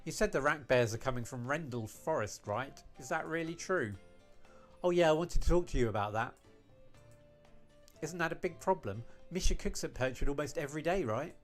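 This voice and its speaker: A man reading scripted visual novel dialogue in character, with a conversational, expressive delivery. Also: fairly high pitch, moderate pace, soft background music.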